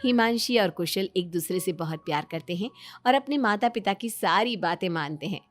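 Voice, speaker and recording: A woman's voice: medium pitch, expressive, moderate pace. A woman storyteller reading a scripted moral family story as narrator. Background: background music.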